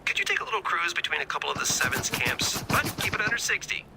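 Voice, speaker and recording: Goofy earnest game squad leader, voice swings up and down loads, wry n coaxing, noisy background. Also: male voice, fairly high pitch, huge pitch swings, fast talker, vocal fry, heavily acted. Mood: sheepish, coaxing. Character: goofy, earnest, animated resistance squad coach. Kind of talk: video game mission dialogue.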